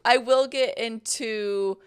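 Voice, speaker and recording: A woman's voice: on the high side, fairly even intonation, slow, clear, resonant tone. A woman hosting an interview, asking questions in a chatty way with fillers. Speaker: interview host.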